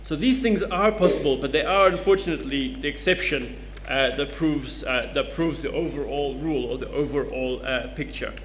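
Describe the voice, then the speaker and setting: A man's voice: medium pitch, moderate pace, a bit bright, slight background noise. A man on a conference panel, explaining his points through case studies. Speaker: panelist presenting research.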